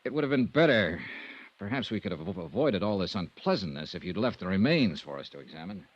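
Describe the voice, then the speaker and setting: An actor playing a persistent, dry American insurance investigator in a 1950s radio detective drama, asking clipped, businesslike questions. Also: male voice, sits low, sing-song, fast. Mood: probing. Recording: dull, dry vintage radio recording.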